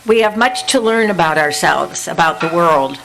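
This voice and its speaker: Soft-spoken older woman reading poetry. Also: fairly low pitch, lively intonation, vocal fry, low-quality recording. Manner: measured reading with pauses, then loose and halting between poems. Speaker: poet reading at an event. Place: indoor venue with an audience.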